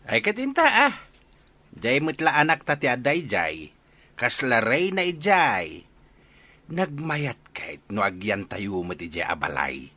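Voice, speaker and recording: A man playing an excitable, playful village fellow in a comedy radio drama, exclaiming and drawing out his words. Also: mid-range voice, a little slow, dry recording, heavily acted. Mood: playful, excited.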